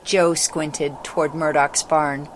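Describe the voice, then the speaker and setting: A woman's voice: sits low, little pitch movement, slow, slight background noise. A female narrator reading a scripted children's story in a dramatic storytelling style.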